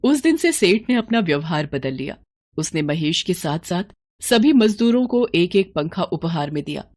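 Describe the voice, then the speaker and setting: A woman's voice: on the low side, very animated, moderate pace, darkish tone, no room sound, clean studio sound. A woman reading scripted narration for a moral tale. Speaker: story narrator.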